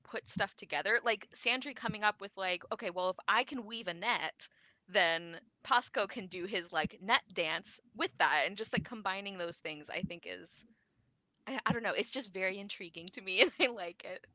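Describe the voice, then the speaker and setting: Bright-voiced, giggly woman chatting about books. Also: mid-range voice, normal speed, no room sound, low-quality recording. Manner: enthusiastic, giggly, animated retellings. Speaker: book discussion co-host. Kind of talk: casual book chat. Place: live online video call.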